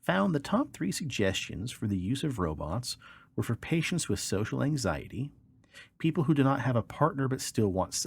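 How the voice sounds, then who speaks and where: A man's voice: mid-range voice, darkish tone, husky. A man hosting a podcast, reading articles aloud and chatting in an informal, colloquial way. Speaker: podcast host.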